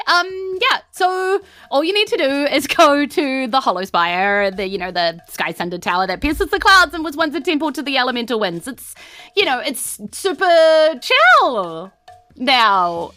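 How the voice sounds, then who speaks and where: A woman improvising narration as a game master in a casual, halting way. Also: sits high, sharp tone.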